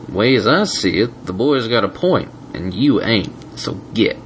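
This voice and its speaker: A man voicing Big Mac, a big protective farm stallion who talks slow, blunt and folksy. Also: lowish voice, sing-song, a little hiss or hum, rough recording. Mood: gruff.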